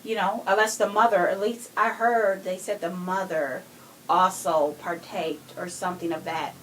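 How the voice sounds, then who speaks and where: A woman's voice: lowish voice, steady tone, normal speed, a bit bright, poor audio. Female podcast co-host, casual and opinionated, recorded with faint room noise behind her.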